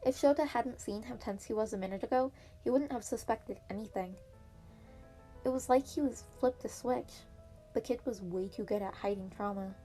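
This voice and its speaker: A woman's voice: on the high side, darkish tone. A woman reading fan fiction aloud as an amateur audiobook narrator. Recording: piano music behind the voice.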